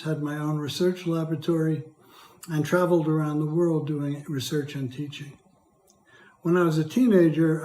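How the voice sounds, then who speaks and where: An older man with a hoarse, dry voice, reading prepared testimony as a patient in a measured, careful way. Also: fairly high pitch, warm tone, roomy sound, low-quality recording. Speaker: patient speaker. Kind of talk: prepared testimony read aloud.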